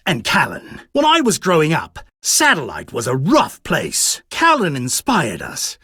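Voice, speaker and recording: A man's voice: fairly high pitch, huge pitch swings, unhurried, sharp tone, slightly rough, no echo, clean studio sound, full character voice. An actor voicing a proud, fiercely confident young male duelist in grandiose, theatrical anime game style. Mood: reflective. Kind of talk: dramatic anime game voice acting.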